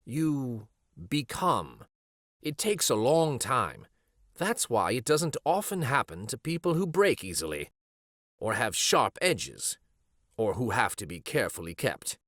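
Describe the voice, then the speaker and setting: A man's voice: mid-range voice, sing-song, a little slow, fairly bright tone, no room sound, clean studio sound. A man voicing an old, shabby, wise toy horse in a storybook, explaining things patiently and truthfully. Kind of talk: storybook character voice.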